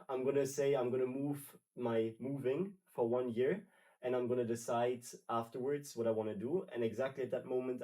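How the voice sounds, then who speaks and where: A man heard a little off-mic, in a room with the recorder some way off. He tells his story in a loose, rambling way, stopping to correct himself. His voice sits at a middle pitch. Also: dark tone, far from the mic, poor audio. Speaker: trainee. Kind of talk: casual roundtable chat.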